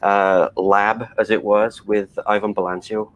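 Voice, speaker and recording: A man's voice: on the low side, flat delivery, measured pace. A middle-aged man from Wales, an animation director being interviewed, who talks in a rambling way with many restarts and fillers. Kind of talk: conversational interview.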